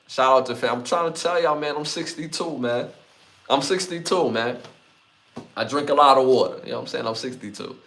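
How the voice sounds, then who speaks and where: A man's voice: medium pitch, fairly quick, fairly bright tone. A man in his forties hosting a podcast, talking straight to his live audience with emphatic, repeated appeals. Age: 40s. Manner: emphatic, repeats himself, talks to chat. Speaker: podcast host. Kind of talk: solo podcast commentary.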